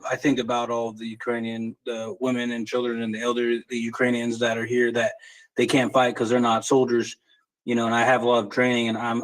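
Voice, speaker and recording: A man who is a military veteran fighting in Ukraine, giving a halting, plainspoken personal account with casual swearing. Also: mid-range voice, rough recording. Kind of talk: personal account in a live audio chat.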